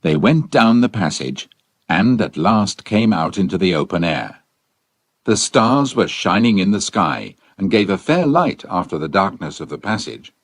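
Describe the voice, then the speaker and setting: A man narrates a children's adventure audiobook. His voice is deep and very animated in its rise and fall. The recording is dry and close. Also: measured pace, no echo.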